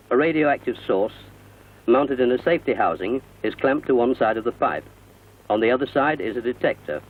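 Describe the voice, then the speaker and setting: A British man narrating a scripted 1960 industrial newsreel in a formal, measured, explanatory manner, recorded as a voice-over. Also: mid-range voice. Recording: muffled, narrow-band vintage film soundtrack.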